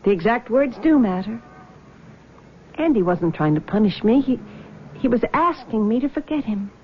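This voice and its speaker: Give me a woman playing a troubled young woman who speaks softly and hesitantly, on a dull old radio recording. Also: on the high side, animated, moderate pace, close to an everyday voice. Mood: resolved. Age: young adult. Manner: soft, hesitant. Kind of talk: 1970s radio drama acting. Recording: dull old radio broadcast with faint hiss.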